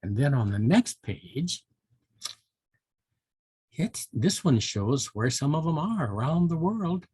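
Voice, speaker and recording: Older man with a husky storyteller's voice. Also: a little high, sing-song, takes it slowly, pauses often, warm tone, no echo. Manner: folksy, musing, with interjections like hmm and well. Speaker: volunteer storybook reader for children. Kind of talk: storytelling and chatty talk to young children.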